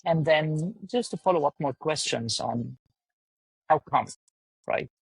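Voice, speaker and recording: Man, host; husky, stop-start questioner. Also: on the high side, no echo, low-quality recording. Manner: halting, many fillers and restarts. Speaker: podcast host. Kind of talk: casual interview.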